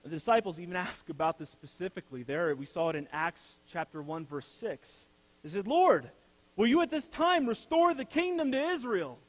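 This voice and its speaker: A young man preaching a sermon from a church pulpit. He builds to loud, emphatic peaks, then drops to soft, low phrases. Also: animated, moderate pace, low-quality recording. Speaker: pastor. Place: church sanctuary, speaking from the pulpit.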